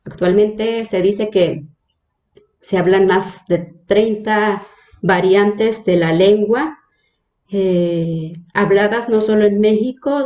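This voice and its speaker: Nahuatl-native woman from Mexico, mellow and unhurried, hesitant with fillers; echoey, dull audio. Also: medium pitch. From Mexico, Hidalgo state. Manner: hesitant, frequent fillers and restarts. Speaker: religious sister, guest. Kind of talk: interview, personal introduction.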